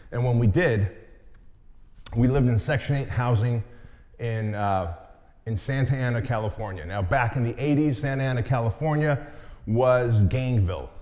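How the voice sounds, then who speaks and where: Man in his 40s, motivational speaker, mid-pitched voice, candid storyteller, long hall echo. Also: a little mellow. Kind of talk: motivational talk with personal story.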